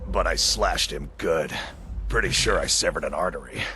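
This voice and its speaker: A man's voice: rumbling low, normal speed, pushed and bright, a little hiss or hum. A male actor playing a wounded, bitter assassin in a video game, speaking in a grim, terse, strained mutter. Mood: grim, pained. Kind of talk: video game character acting.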